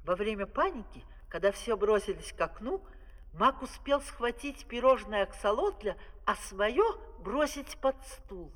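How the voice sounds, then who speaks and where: A narrator telling a scripted fairy tale in an expressive storytelling manner. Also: mid-range voice, measured pace. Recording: old vinyl record with music underneath. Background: music.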